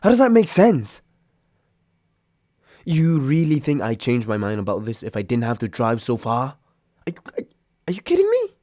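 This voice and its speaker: Roleplay audio drama: male actor as a grumbling, sarcastic young man, reluctant roommate with a soft spot. Average pitch, very dry intimate sound. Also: wildly swooping pitch, normal speed, long pauses, no echo. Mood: alarmed, exasperated. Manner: grumbling, sighing, exasperated. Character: grumpy sarcastic young male roommate, secretly caring, woken from sleep. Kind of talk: intimate roleplay audio drama, one side of a phone call.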